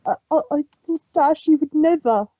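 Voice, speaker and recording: A bubbly pink pony mare with a high, mellow voice, read by a woman. She sounds childlike and pleading, stammering when upset. The sound is dry, dull and lo-fi. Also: normal speed, dry recording, lightly acted. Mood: heartbroken. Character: bubbly pink female pony, now distraught. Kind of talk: fanfic read-aloud on stream.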